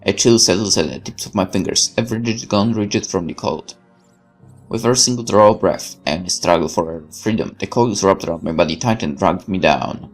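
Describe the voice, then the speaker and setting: A man's voice: low-pitched, brisk, faint music behind. A man reading horror fiction aloud in a theatrical, intense way, as a gaming host narrating a visual novel. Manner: theatrical, intense, occasional stumbles over words. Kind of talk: dramatic scripted horror narration.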